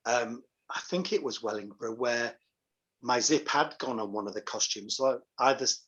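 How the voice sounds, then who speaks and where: A man with a mid-pitched voice chats casually as a guest on a show. His audio is a little muffled and lo-fi, like a call. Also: moderate pace, a bit bright, husky. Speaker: guest, pantomime dame and director. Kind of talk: casual anecdotal chat.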